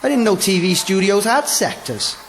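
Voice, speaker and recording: A woman's voice: medium pitch, sing-song, normal speed, close to an everyday voice. A woman voicing a blunt, impatient, sarcastic star hunter, over music. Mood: brash.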